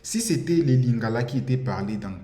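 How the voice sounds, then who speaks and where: A man's voice: mid-range voice, expressive, fairly quick, warm tone. A man from the DR Congo, giving an impassioned monologue, insistent and repetitive, often stumbling over words. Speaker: commentator. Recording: roomy echo with faint ambience.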